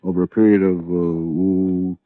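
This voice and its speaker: A matter-of-fact doctor witness in old radio drama. His low voice is hesitant, and the dry recording is thin as a phone line. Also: flat delivery, measured pace, no echo. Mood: hesitant, factual. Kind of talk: 1950s radio crime drama acting.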